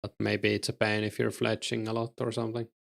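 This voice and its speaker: Low, flat-voiced Finnish man, gravelly. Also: brisk. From Finland. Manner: relaxed, reads and answers chat while playing, with fillers. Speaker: game streamer. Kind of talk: casual stream chat while gaming.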